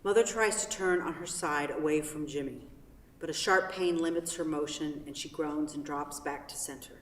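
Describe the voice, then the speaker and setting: A woman serves as narrator and host at a play reading. Her voice is a little low and is picked up from a distance in a very echoey hall. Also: normal speed, a bit bright, a little hiss or hum. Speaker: stage-directions narrator and event host. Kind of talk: scripted narration and hosting. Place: theatre hall.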